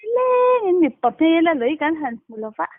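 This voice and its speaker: A woman with a high-pitched voice talks in a casual, conversational way on a phone call. The line is thin and narrow, cutting away the body of the sound, and it is quite dry with no room echo. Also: sing-song, normal speed. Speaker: phone caller. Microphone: telephone.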